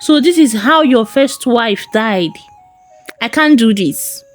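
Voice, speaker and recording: A woman's voice: fairly high pitch, lively intonation, slow, faint music behind. A woman storyteller voicing a greedy, bitter young farmer husband with a harsh, insistent manner in a folktale. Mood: indignant. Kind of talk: storytelling character voice.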